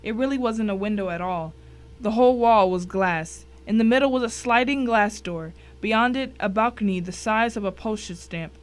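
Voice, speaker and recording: A woman's voice: medium pitch, normal speed. A woman giving scripted first-person narration in a dramatic audio story.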